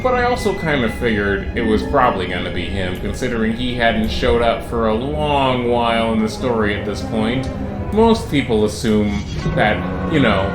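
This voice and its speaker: A man giving casual, chatty and playful commentary on a video game playthrough. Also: mid-range voice. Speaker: gaming video narrator. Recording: roomy echo, loud game music behind. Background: loud game music.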